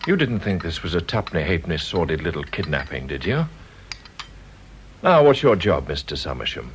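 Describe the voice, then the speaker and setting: A man's voice: low voice, very animated, slight background noise. An actor with an English accent playing a mock-polite, menacing kidnapper who poses as a policeman in a radio drama. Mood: smugly menacing. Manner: mock-courteous, unhurried, insinuating.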